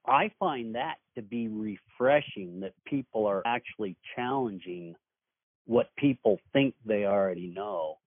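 A man hosting a podcast, asking interview questions in a measured, earnest way. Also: on the low side, lively intonation, slightly rough, dry recording. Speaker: podcast host. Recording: dry, phone-like line.

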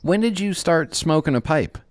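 A man's voice: on the high side, expressive, moderate pace, no echo, clean studio sound. A man hosting a podcast interview in casual chat, joking and chuckling at his own quips. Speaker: podcast host.

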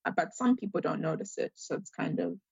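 A young woman with a gravelly, fry-laden voice answers interview questions in a mixed Zambian accent with Australian and American traces. She pauses often on "um" as she thinks. The sound is dry and somewhat dull. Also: fairly low pitch, darkish tone, no echo. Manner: hesitant, many "um" pauses. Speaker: guest.

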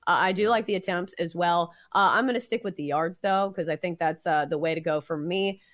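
A woman's voice: lowish voice, brisk, no room sound, studio quality. Southern woman talking sports bets. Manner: analytical, steady, frequent "uh" fillers. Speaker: sports betting podcast host. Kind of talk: casual sports betting chat.

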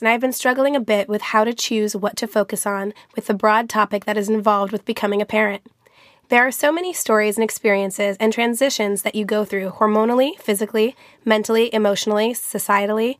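A young woman co-hosting a podcast, hoarse from being sick, chatting casually and reading listener mail aloud. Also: mid-range voice, dry recording, clean studio sound. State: hoarse, losing her voice from illness. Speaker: podcast co-host.

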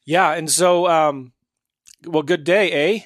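A man's voice: a little high, slow pace, no room sound, clean studio sound. A man hosting a podcast interview, upbeat and chatty, laughing easily. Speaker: podcast host.

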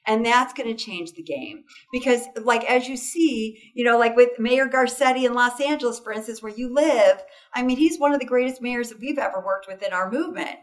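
A woman's voice: on the high side, brisk, few pauses, far from the mic. A woman being interviewed as a foundation founder, talking enthusiastically and often tagging sentences with "right?" and "you know". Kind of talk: interview conversation.

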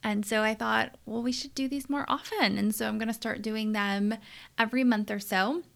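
Thirtysomething woman hosting a podcast, candid and conversational, voice a touch high. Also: fairly even intonation, normal speed, creaky, a bit bright. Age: 30s. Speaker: podcast host and leadership coach. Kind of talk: solo podcast monologue.

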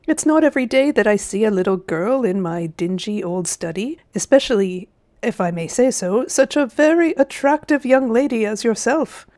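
Woman voicing a sly, wheedling old gentleman. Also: a little high, lively intonation, moderate pace, lightly acted. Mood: wheedling, self-pitying. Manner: wheedling, condescending, old-fashioned genteel phrasing. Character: cunning, smug old gentleman uncle, falsely sweet and sly. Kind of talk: storybook character voice.

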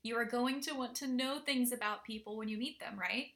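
A woman hosting a language lesson podcast, upbeat and energetic as she introduces the episode. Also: on the high side. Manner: upbeat and energetic in the intro, slow and deliberate when modelling phrases. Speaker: podcast host and Polish teacher.

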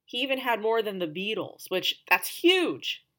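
A woman narrates as a podcast host. She mixes scripted storytelling with chatty, conversational asides and leans on key words for dramatic emphasis. Her voice sits at a middle pitch for a woman. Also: very animated, a little slow, sharp tone.

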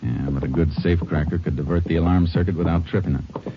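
An actor playing a calm, methodical Texas Ranger detective in a 1950s radio drama. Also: male voice, low-pitched, a little hiss or hum, lightly acted. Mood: steady. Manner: steady, measured and confident. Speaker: lead detective. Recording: dull lo-fi vintage radio recording.

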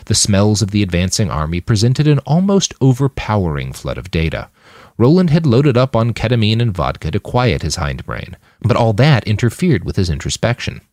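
Man, scripted audiobook narration, deep pitch, highly lively inflection, dry sound. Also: moderate pace, no echo. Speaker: author reading his own fiction podcast.

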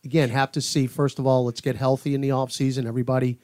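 A man's voice: medium pitch, flat delivery, fast talker, darkish tone, no echo. Man giving emphatic basketball analysis, close dry sound. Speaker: basketball podcast panelist and analyst. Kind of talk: conversational sports analysis.

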